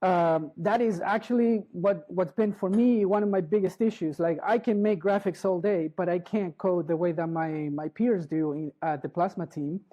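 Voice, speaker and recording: A middle-aged woman from Chile, giving a live conference talk in a halting way, restarting often and checking in with the audience. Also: fairly low pitch, warm tone, muffled recording. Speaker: conference speaker. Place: conference hall.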